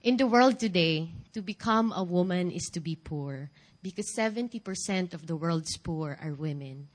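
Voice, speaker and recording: A woman with a Filipino accent giving a church testimony in deliberate public speech, with rhetorical questions. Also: lowish voice, lively intonation. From Philippines. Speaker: guest speaker giving testimony. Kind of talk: church sermon and personal testimony.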